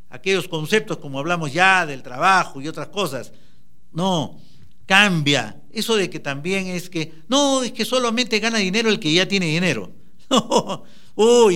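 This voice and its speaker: A man's voice: high voice, bright, forceful tone. A man giving a motivational talk, emphatically urging the listener on and addressing them directly. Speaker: motivational speaker.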